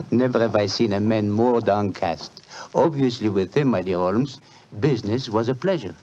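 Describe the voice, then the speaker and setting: An actor playing a suave, wry French police inspector with a French accent in 1940s radio drama style. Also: male voice, on the low side, lively intonation, a little slow, long pauses, warm tone, husky. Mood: amused, urbane. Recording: muffled 1940s radio recording.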